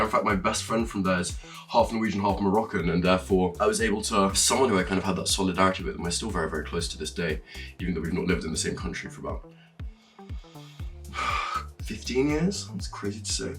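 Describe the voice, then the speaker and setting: A young man from Norway chatting casually through a makeup tutorial, animated and sometimes swearing with a laugh. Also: lowish voice, distant mic. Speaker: makeup vlogger.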